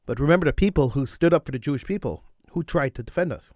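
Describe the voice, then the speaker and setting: A middle-aged man with a fairly high voice delivers a fervent talk radio monologue. He hammers his points by repeating phrases, and a slight cold wears on his voice. The studio microphone sound is dry and close. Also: lively intonation, fast, darkish tone, no room sound. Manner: emphatic, repeats phrases for stress. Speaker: radio talk show host.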